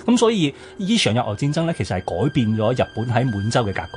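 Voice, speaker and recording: A man's voice: medium pitch, big pitch swings, quick pace, heavy background noise. A man with a Hong Kong Cantonese accent, co-hosting a radio history talk and explaining things informally with chatty asides. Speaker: radio co-host.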